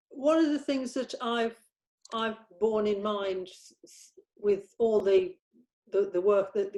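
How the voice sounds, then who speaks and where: A woman talks casually about a script she has written. Her delivery is halting and hesitant, as she searches for the right words while explaining her choices. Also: mid-range voice, a little mellow.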